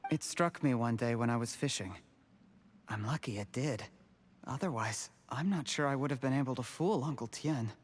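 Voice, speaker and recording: An actor playing an ambitious young schemer in a video game, outwardly servile and quietly bitter, in a measured manner. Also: male voice, medium pitch, breathy edge, close to an everyday voice. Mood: deferential.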